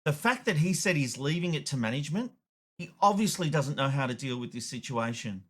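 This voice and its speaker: Male commentator, slightly high-pitched voice, emphatic and opinionated, presenting an editorial. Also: expressive, fairly quick, fairly bright tone. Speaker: show host, media commentator.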